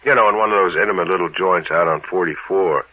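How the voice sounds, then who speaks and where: An actor playing a knowing, insinuating American investigator in an old radio crime drama, talking in a wry colloquial way. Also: male voice, low voice, quick pace, no echo. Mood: sly, knowing. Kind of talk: 1950s radio drama acting. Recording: thin, tinny old radio recording.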